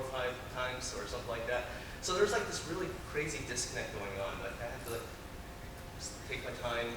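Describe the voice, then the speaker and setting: Young man from Taiwan, Chinese as first language, chatty self-mocking presenter, mid pitch, far mic in a boomy conference hall. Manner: joking, self-deprecating, many fillers. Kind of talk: casual conference talk. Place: large conference room. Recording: echoey hall from far off with noise.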